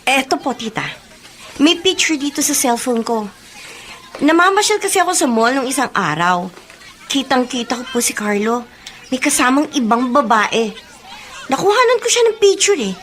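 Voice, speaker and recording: A woman's voice: high-pitched, sing-song, normal speed, long pauses. An actress playing a sly, meddling young woman in a Filipino radio drama. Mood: confiding. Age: young adult. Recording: noticeable ambient noise behind voice.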